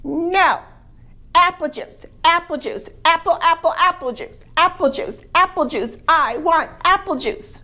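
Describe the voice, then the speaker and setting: A woman storyteller voicing a demanding baby boy who whines insistently in a high voice. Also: a little slow, bright, forceful tone, heavily acted. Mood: whiny. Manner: high, whiny, insistent chant.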